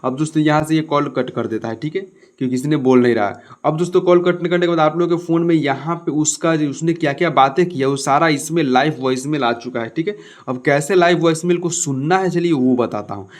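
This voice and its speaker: A man's voice: on the high side, brisk. A man giving a casual step-by-step phone tutorial, addressing viewers as friends and often checking they follow. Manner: repetitive tag questions, addresses viewers as friends. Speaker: tech tutorial host.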